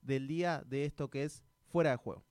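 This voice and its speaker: A male radio interviewer with an Argentine accent speaks in a smooth, clean voice of average pitch. He puts his questions conversationally, in a dry studio sound. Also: brisk, darkish tone, dry recording.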